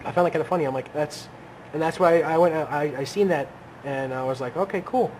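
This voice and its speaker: A man's voice: a little high, a little fast, a little mellow, slight background noise, rough recording. A man co-hosting a podcast, chatting casually about politics and often stopping to restart his sentences. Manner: rambling, many restarts and hedges. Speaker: podcast co-host.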